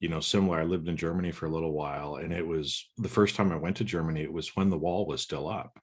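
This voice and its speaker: Very deep-voiced male podcast host. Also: steady tone, fast talker, breathy edge. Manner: rambling, repeats words while framing questions. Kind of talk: conversational interview.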